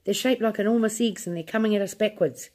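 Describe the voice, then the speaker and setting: A woman's voice: medium pitch, fast talker, dark tone, voice pushed up, natural voice. A woman voicing a desperate male astronaut in a read-aloud storybook.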